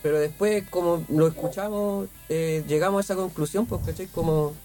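High-voiced rambling Chilean man. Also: fairly even intonation, normal speed, warm tone, faint music behind. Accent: Chilean Spanish. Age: 30s. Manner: rambling, filler-heavy, slangy. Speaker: band member. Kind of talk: casual interview chat.

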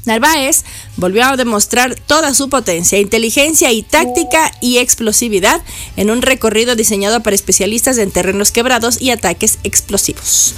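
A woman's voice: a little high, fairly bright tone. A woman who hosts a radio show and reads the news casually, with playful teasing asides. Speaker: radio host. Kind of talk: chatty news commentary.

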